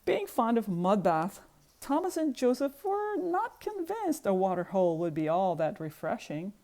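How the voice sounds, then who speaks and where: A woman reading a children's book aloud in expressive narration, stressing repeated words rhythmically. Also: mid-range voice, moderate pace.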